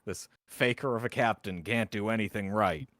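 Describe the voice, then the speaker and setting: A man's voice: lowish voice, normal speed, a bit bright, no room sound, studio quality. A man talking casually as a player in a tabletop roleplaying game.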